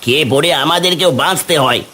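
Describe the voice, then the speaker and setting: Guy acting a village stallholder in a cartoon, sharp highish voice, argues back. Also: fast. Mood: pleading. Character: male village market vendor, defiant and pleading. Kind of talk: cartoon character dialogue.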